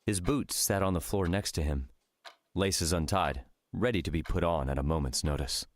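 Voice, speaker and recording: A man narrating a scripted fiction audiobook in a measured storytelling manner. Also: sits low, slight background noise.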